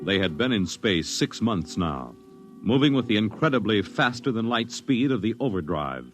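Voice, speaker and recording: Deep, portentous man narrating vintage radio. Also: sing-song. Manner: grave, portentous, measured delivery. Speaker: radio drama narrator and announcer. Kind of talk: dramatic 1950s radio narration. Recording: vintage radio broadcast over music.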